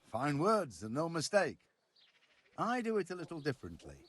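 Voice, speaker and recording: A man's voice: fairly high pitch, wildly swooping pitch, measured pace, a bit bright, heavily acted. A man acting a proud, earthy village blacksmith in a medieval video game, blunt and folksy in his speech. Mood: frank, reflective. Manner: blunt, sweary, folksy turns of phrase.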